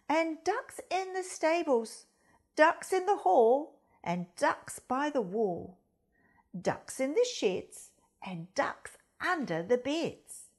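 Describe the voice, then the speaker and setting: A woman reading a children's story aloud as a school storyteller, expressive and deliberate, speaking directly to young listeners. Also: sits high. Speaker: storytime reader at a primary school.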